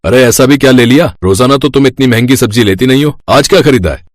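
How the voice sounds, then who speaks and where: A man's voice: mid-range voice, fast talker, no room sound, clean studio sound, close to an everyday voice. A man playing an irritable, tight-fisted middle-aged shopkeeper husband in a family story, curt and scolding.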